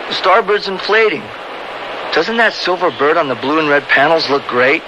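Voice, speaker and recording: An American man playing a reckless, smooth-talking young balloon pilot in a radio drama. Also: fairly high pitch, moderate pace, natural voice. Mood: confident, explaining. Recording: noisy old radio drama recording.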